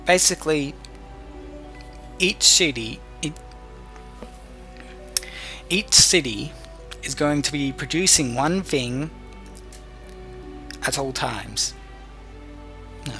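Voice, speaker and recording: Guy doing lets play commentary, bit of vocal fry, explains stuff step by step kinda hesitantly, quiet music behind. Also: on the high side, animated, takes it slowly, long pauses. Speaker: gamer hosting a let's play tutorial.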